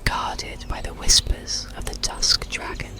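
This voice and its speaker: A storyteller narrating a bedtime tale in a soothing, deliberate, breathy whisper, in ASMR style. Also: over music. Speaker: audiobook storyteller.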